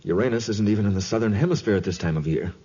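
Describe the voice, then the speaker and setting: An actor playing a wry, matter-of-fact scientist in a 1950s radio serial, raspy and informal. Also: male voice, lowish voice, rapid-fire, warm tone. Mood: wry, explanatory. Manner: dryly amused, informal. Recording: muffled 1950s radio recording.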